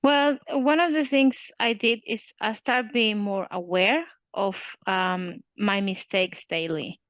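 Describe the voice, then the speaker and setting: A woman's voice: mid-range voice, fairly even intonation, slow, fairly bright tone, no echo. A young woman with a Spanish accent, talking hesitantly in a casual interview, with many fillers and restarts. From Spain. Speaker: student interviewee.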